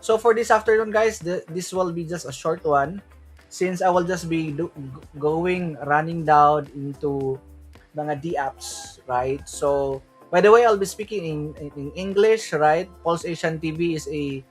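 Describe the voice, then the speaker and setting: A man's voice: a little high, a little slow, husky, faint music behind. A man from the Philippines with a Filipino accent, hosting a solo crypto livestream in a loose way, switching into Tagalog now and then and asking "right?" after many of his points. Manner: loose, repeats "right?" often, mixes in Tagalog.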